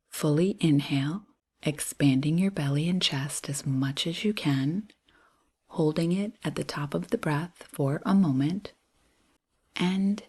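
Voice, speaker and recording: A woman guiding a breath and body scan meditation in a soft, breathy voice, soothing and gentle, pausing between short phrases. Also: low-pitched. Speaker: meditation guide.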